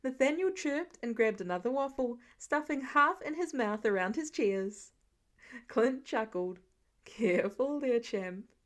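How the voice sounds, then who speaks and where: Female podfic narrator, higher-pitched voice, scripted story reading. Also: lively intonation, a little slow. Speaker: podfic reader.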